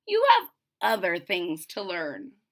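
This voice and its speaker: A woman voicing a calm, wise witch teacher in a storybook, measured, instructive and firm. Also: fairly low pitch, full character voice.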